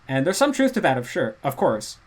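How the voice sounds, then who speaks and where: A man's voice: fairly high pitch, huge pitch swings, a little fast. A man, a guest in a casual chat, earnestly explaining at length. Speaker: guest language learner.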